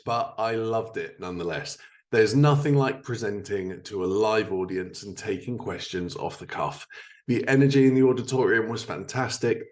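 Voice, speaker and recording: A man hosting a podcast, delivering scripted introductions and interview questions. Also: on the low side. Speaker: podcast host.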